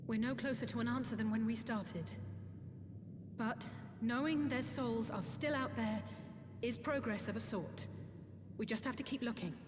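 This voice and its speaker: Earnest young noblewoman, highish voice acted by a woman. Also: moderate pace, noisy background, natural voice. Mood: troubled resolve. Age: young adult. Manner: formal, earnest, intense. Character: earnest young noblewoman, formal and burdened with worry. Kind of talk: video game cutscene acting. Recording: echoey reverberant hall, music behind.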